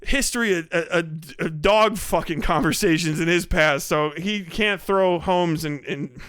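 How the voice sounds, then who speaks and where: A man giving profane, casual livestream commentary, rambling and thinking out loud as he goes. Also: a little high, unhurried, clear, resonant tone, no echo. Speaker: live streamer reacting to videos.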